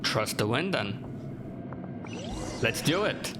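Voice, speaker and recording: A man's voice: medium pitch, big pitch swings, slow. A man giving laid-back, casual let's-play commentary with dry teasing asides, over game music. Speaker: gaming video host.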